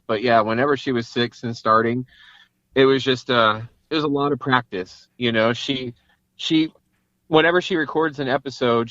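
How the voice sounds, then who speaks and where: A man with a bright, forceful voice at an ordinary pitch, chatting informally as an interview guest. He thinks aloud in a conversational way. The audio is dull and band-limited. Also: no room sound. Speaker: guest, entrepreneur and father. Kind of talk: casual interview chat.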